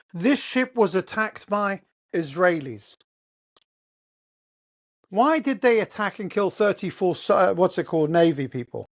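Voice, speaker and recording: Heated, blunt English man ranting. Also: high voice. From England. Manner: heated, blunt and profane, with rhetorical questions. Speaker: host. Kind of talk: ranting monologue.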